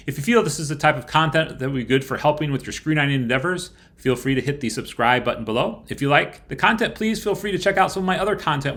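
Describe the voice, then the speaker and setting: A man hosting an informal review video, explaining things to viewers in a halting way. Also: fairly high pitch, fairly bright tone. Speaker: host of a screenwriting review channel.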